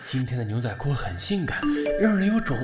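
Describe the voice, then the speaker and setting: A man's voice: mid-range voice, sing-song, brisk, lightly acted. A man playing a creepy, brazen anonymous stalker in a suspense audio drama, with leering, taunting insinuation. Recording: echoey, long reverb, music behind.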